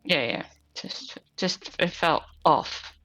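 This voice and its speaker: A woman's voice: low voice, slow, a bit bright. A woman playing a tabletop game, chatting casually and questioning the story. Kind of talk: casual chat. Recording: slightly dull sound with music behind.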